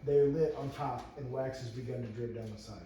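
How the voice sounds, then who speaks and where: A man's voice: medium pitch, steady tone, brisk. A man reading screenplay stage directions aloud as narrator at a table read, stumbling and repeating phrases. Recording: echoey room, far from mic, faint noise.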